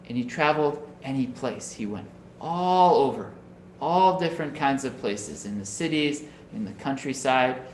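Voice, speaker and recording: A man's voice: mid-range voice, roomy sound, slight background noise. A man from Minnesota, a Buddhist minister, giving a conversational Dharma talk over a video call and often checking in with listeners. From Minnesota, USA. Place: home room on a video call.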